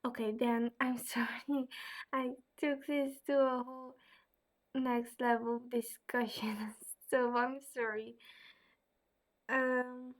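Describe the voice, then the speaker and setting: A woman's voice: sits high, level tone, takes it slowly. A young woman from the Netherlands with a Dutch accent, answering viewer questions in a casual vlog, hesitant and full of fillers. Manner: hesitant, many fillers and soft asides.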